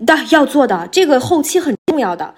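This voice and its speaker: Sweet, bright high-voiced woman. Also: very animated, brisk. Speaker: voice actor guest. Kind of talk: casual podcast interview.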